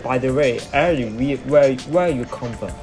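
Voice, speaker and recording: A man with a Hong Kong accent, a native Cantonese speaker, answering viewers' chat questions on a live stream in halting English, often repeating words as he searches for them. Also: medium pitch, a little mellow. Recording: music playing clearly behind.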